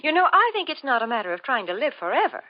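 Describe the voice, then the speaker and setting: A woman's voice: high-pitched, very animated, quick pace. A woman giving a scripted 1940s radio commercial in a gracious, polished selling manner. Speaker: commercial spokeswoman. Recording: muffled 1940s radio recording.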